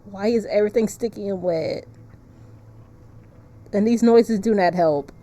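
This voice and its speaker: A woman with a soft-edged, mellow voice streams a narrative game. She moves between casual commentary and reading the text aloud, at a middling pitch for a woman. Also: unhurried. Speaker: streamer playing a visual novel.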